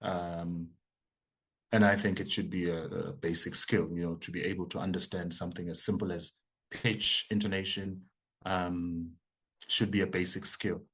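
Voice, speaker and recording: A South African man in his forties, whose first language is isiZulu, answers interview questions in a somewhat low voice. He talks thoughtfully and haltingly, stumbling over and repeating words as he thinks. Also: little pitch movement, a bit bright, breathy edge. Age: 40s. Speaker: choral conductor and composer, interview guest.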